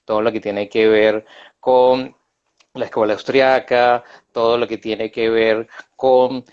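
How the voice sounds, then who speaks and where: A man's voice: mid-range voice, level tone, takes it slowly, a little mellow, clear, resonant tone, dull audio. A middle-aged man with a Venezuelan accent, a guest in a casual live video interview, talking freely with many hesitations and side remarks. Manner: chatty, many filler hesitations and self-asides. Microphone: video call.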